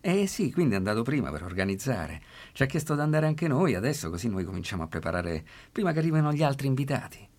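Fast, smooth-talking young villain. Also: male voice, mid-range voice, sing-song, a little mellow. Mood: falsely friendly. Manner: breezy, persuasive. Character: smooth-talking, deceitful well-bred young man luring girls.

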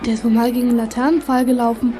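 A woman in a German youth audio drama playing a lively, caring teenage girl with an expressive manner. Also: on the high side, rapid-fire, a little hiss or hum, lightly acted. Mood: teasing.

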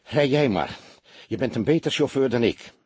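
A man plays an older, heavyset police detective in an audiobook, with a very husky, rough-edged voice. He is calm, commanding and wry, talking in short, dry, politely firm phrases with lively intonation. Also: medium pitch, normal speed, no echo. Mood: hurried, wry. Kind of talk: audiobook character dialogue.

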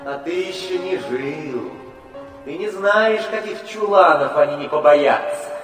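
An actor playing a taunting man in a feverish vision in a radio play, declaiming in drawn-out phrases. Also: on the high side, lively intonation, fairly bright tone. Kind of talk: theatrical radio play acting. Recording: echoey, distant studio effect, music behind.

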